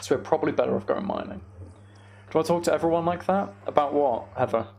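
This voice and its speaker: A man's voice: fairly high pitch, fast talker, gravelly, darkish tone. A man giving casual, rambling gaming commentary to a live chat, with playfully exasperated banter. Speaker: game streamer hosting a live chat stream.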